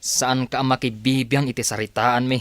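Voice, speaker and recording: A man's voice: mid-range voice, flat delivery, normal speed, a bit bright, no echo, natural voice. A man with a Filipino accent acting a proud, evasive young boss's son in a comedy radio drama, defensive and hesitant. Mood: annoyed.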